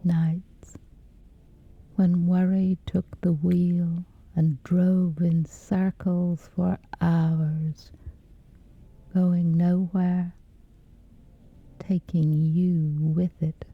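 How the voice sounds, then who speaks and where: A woman softly guiding a scripted sleep meditation in a breathy, gentle, soothing hush with long pauses. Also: fairly low pitch, level tone, dry recording, narrow, tinny audio. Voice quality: breathy, whispery soft.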